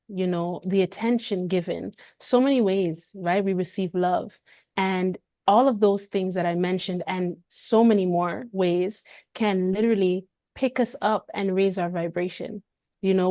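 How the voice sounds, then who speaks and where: A woman's voice: medium pitch, darkish tone, dry recording. Rambling woman hosting a dry livestream. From Canada. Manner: rambling, many restarts and fillers, laughs. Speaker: livestream host. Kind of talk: casual livestream talk.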